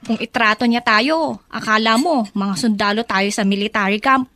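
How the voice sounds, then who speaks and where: Outspoken young daughter, high pitch, Filipino accent, earnest, expressive, radio drama. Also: female voice, normal speed. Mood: sympathetic, indignant. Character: young woman, outspoken caring daughter of colonel.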